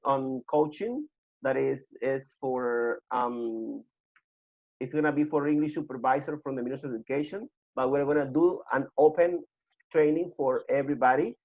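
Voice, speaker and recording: A man hosting a webinar on a video call, giving informal closing announcements in a halting way and repeating himself. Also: on the high side. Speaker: webinar host. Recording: muffled video call audio.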